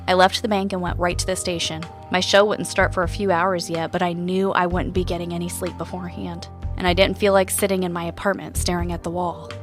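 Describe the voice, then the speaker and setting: Actress playing woman podcast investigator, lowish voice, earnest, skeptical, audio-drama delivery, music underneath. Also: close to an everyday voice. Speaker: podcast host. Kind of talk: scripted audio-drama narration and dialogue.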